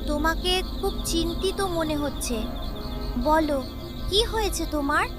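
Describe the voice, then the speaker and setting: A woman voicing a benevolent cartoon fairy, reassuring and measured, who gives solemn warnings, with music behind her. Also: extremely high pitch. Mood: gentle, reassuring. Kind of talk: children's cartoon moral-tale acting.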